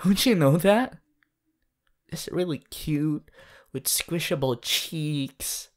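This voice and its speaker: A woman playing an eager, affectionate teenage boy hero in a fan ASMR roleplay, gushing and halting as she playfully addresses the listener. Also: low-pitched. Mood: tender, adoring. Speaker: voice actor in a fan character audio.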